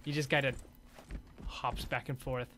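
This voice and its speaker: A man's voice: mid-range voice, level tone, a little slow, fairly bright tone, slight background noise. A man giving casual commentary over game footage as a video host.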